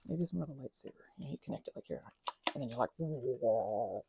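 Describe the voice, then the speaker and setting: A woman in a rambling, soft-spoken ASMR craft chat, talking in a playful put-on Scottish accent and drifting between quiet talk and whispers. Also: a bass voice, expressive, fast talker, vocal fry, very noisy.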